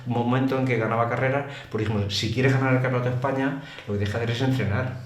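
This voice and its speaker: A man's voice: medium pitch, fairly even intonation, rapid-fire. An older man with an accent from Spain, a former racer, chattily telling anecdotes in colloquial, exclamatory speech as an interview guest. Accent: Spanish from Spain. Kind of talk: casual anecdotal storytelling. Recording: roomy echo with clear background noise.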